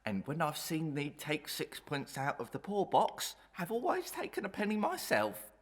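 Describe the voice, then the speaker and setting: An actor playing a simple, cheeky young servant who is deferential and earnest, speaking archaic lines in a melodrama. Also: male voice, on the high side, huge pitch swings, moderate pace. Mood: naive, cheeky. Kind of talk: Victorian melodrama audio acting.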